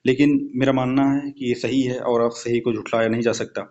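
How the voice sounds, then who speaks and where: A man's voice: mid-range voice, steady tone, brisk, a little mellow. Young man, dull lo-fi recording. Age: young adult. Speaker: exam-prep YouTuber and fellow aspirant. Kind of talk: informal explanatory exam analysis.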